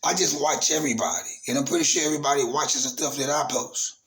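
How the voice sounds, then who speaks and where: A man, hosting his own channel in a casual, halting monologue full of fillers and restarts. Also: medium pitch, a bit bright. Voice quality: raspy voice. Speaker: YouTube channel host.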